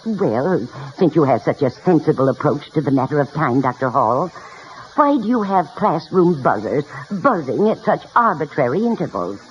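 A woman's voice: low voice, big pitch swings, normal speed. Spry, outspoken old lady. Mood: opinionated. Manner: opinionated, genteel, playfully contrary. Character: spry, outspoken elderly mother enrolling in college. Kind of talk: 1950s radio comedy acting. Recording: dull, hissy old radio recording.

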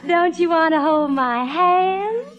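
Squeaky-high, gushing lovestruck girl. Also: expressive, slow pace, lo-fi sound, heavily acted. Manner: cooing, coy, exaggerated sweetness. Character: gushing, lovestruck, forward young girlfriend. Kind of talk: 1940s radio comedy sketch acting. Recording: old radio broadcast with audience noise.

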